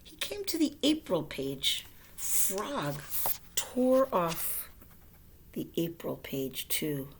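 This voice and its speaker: A woman reading a children's story aloud in a gentle storytelling style. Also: lowish voice, sing-song, extremely slow, a little hiss or hum. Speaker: storybook reader.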